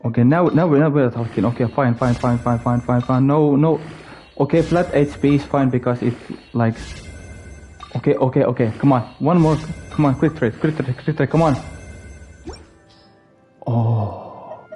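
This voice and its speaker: Male game streamer from Finland, mellow tone, casual self-directed muttering with repeated phrases, faint music behind. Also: medium pitch, moderate pace. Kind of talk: casual live gameplay commentary.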